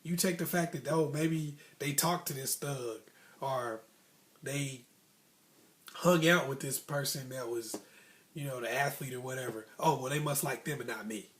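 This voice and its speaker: A man's voice: mid-range voice, unhurried, a bit bright. An American man telling personal stories and giving life advice in an informal, conversational way, repeating phrases for emphasis. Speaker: host of a motivational storytelling vlog.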